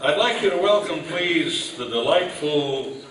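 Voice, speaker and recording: A man acts as master of ceremonies, making loose, unscripted introductions from a stage with self-deprecating jokes. His voice is picked up at a distance and swims in heavy room echo. Loud noise runs through the whole recording. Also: on the high side, animated, measured pace. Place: live on stage at an old-time radio event. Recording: very echoey, noisy hall, distant mic.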